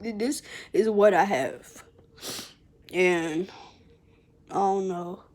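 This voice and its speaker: A young woman vlogger who stutters, talking haltingly to her viewers with many pauses and restarts, calling them y'all. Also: mid-range voice, darkish tone. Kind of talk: personal vlog, talking to viewers.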